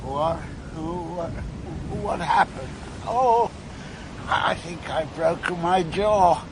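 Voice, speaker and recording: A man playing a pompous, indignant ship's captain in a radio drama, middle-aged, with a gravelly, sharp voice and blustering, suspicious manner. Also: on the high side, very slow, background noise. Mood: dazed outrage. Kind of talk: BBC radio drama acting.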